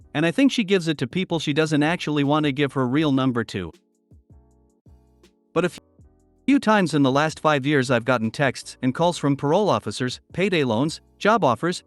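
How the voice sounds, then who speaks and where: A man narrating online posts aloud in a steady read-aloud delivery. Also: fairly high pitch, dry recording.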